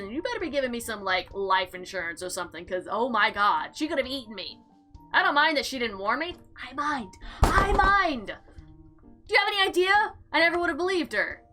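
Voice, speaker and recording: A woman hosting a visual novel playthrough, reading aloud and reacting with animated, slangy exclamations over background music. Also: high voice, fairly quick. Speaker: gaming video host.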